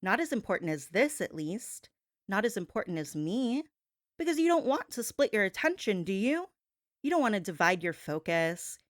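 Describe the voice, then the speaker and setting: A woman's voice: medium pitch, big pitch swings, no room sound, studio quality. A woman delivering a performed gossip-show monologue as a host, sassy and theatrical, leaning on words for dramatic emphasis. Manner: sassy, theatrical, drawn-out emphasis, addresses listener as babe.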